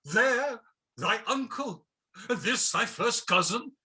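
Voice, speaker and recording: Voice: medium pitch, animated, slow, pushed and bright, breathy edge, full character voice. A performer playing a pompous Justice in a mock tragedy, declaiming grandly as a long-lost parent. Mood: grandly revelatory. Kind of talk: mock-heroic stage tragedy reading.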